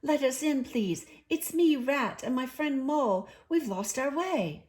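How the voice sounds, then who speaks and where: A woman voicing a practical, kindly male water rat in a children's story, brisk and reassuring. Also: sits high, animated, a little mellow, pitched above the performer's own voice. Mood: pleading.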